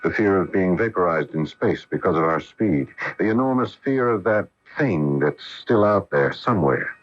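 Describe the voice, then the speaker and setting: Casting: grave male astronaut for vintage radio drama; very low, swooping delivery, earnest, on muffled AM broadcast. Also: lively intonation, moderate pace. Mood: grave, uneasy. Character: grave, middle-aged male astronaut husband with silvering hair. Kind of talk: 1980 radio drama acting.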